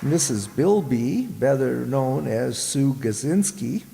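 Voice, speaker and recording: A man announcing the credits of an amateur radio drama, in a measured, playfully formal way. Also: medium pitch, expressive, vocal fry. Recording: echoey hall with background noise.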